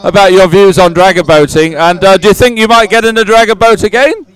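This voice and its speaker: A man's voice: high voice, steady tone, a little fast, fairly bright tone, poor audio. A man working as a roving sports reporter, interviewing and announcing in a chatty, informal manner. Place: outdoors beside a race course.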